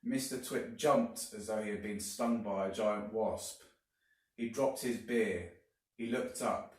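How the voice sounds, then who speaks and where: A man reading a children's story aloud like a teacher, deliberately and with dramatic emphasis. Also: lowish voice. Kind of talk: expressive storybook narration. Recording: very echoey room, distant mic.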